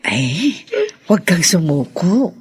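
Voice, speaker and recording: A pious, consoling confidante in a radio drama. She speaks slowly and earnestly, with a husky voice. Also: lowish voice, sing-song, heavily acted. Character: consoling, pious woman confidante urging prayer. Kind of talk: Filipino radio drama acting.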